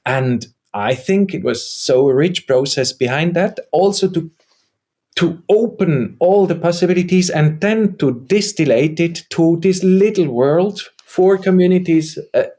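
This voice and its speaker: A man's voice: fairly high pitch, lively intonation, measured pace, mellow. Earnest German-native man, restarting often. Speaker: theater maker, guest. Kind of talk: conversational interview.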